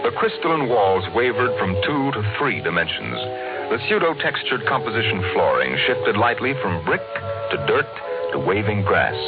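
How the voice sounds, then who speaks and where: Grave male sci-fi narrator, low measured voice with sweeping inflection, tinny vintage radio sound. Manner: measured, theatrical, deliberate delivery. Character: grave, sardonic omniscient narrator of science fiction. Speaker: announcer and narrator. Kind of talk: 1950s radio drama narration. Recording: tinny old radio broadcast, loud music.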